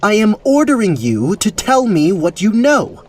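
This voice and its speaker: A man's voice: high-pitched, sing-song, measured pace. A man playing a proud but easily flustered young prince in an audio drama. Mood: indignant, commanding. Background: music.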